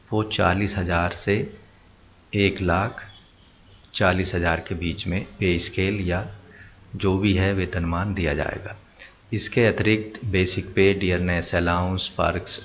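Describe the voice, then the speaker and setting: Low-voiced, slow-speaking Indian man. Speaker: presenter of job recruitment notices. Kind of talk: informational explainer, reading out notice details. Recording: muffled lo-fi audio with faint noise.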